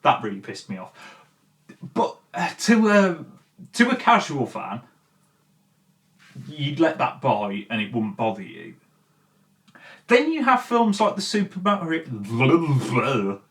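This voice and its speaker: A man hosting a commentary show, talking in a casual, opinionated rant with emphatic, exasperated delivery and frequent swearing. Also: fairly high pitch. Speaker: host of a film and game commentary show. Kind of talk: opinionated video essay rant, casual and conversational.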